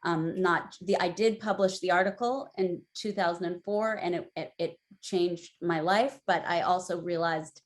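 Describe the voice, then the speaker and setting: A woman in her forties on a webinar panel, telling a personal story in a candid, conversational way that turns lively when she quotes herself. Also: fairly low pitch, normal speed, hardly any pauses. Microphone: video call.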